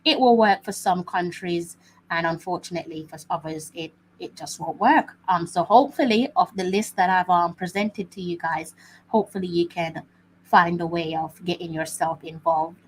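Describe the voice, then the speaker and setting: A woman's voice: on the low side, normal speed, slight background noise, rough recording. A woman hosting a live watch-along stream, chatting casually and often repeating her phrases with many fillers. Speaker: host.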